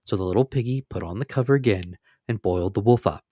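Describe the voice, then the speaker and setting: A man narrating a fairy tale as a storyteller, with dramatic, expressive and deliberate scripted delivery. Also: fairly low pitch, dry recording, clean studio sound.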